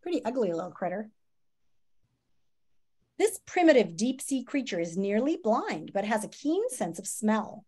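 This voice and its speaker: A woman in her fifties reading a children's nonfiction book aloud in a dramatic, teacherly way with emphatic pauses, on a video call from a room at home. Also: medium pitch, big pitch swings, mellow, husky. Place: small home room, indoors. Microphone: video call, computer microphone.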